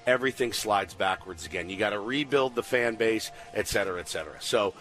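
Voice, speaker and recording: A man's voice: on the low side, brisk, a bit bright. A man co-hosting a casual live sports talk show on location. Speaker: sports radio co-host. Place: live remote broadcast at a baseball spring training site.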